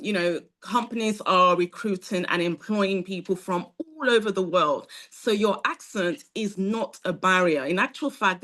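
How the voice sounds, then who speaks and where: A woman's voice: on the low side, fairly bright tone. A woman instructor leading a live online masterclass, talking directly and encouragingly to her students, with frequent fillers. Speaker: instructor at a training academy.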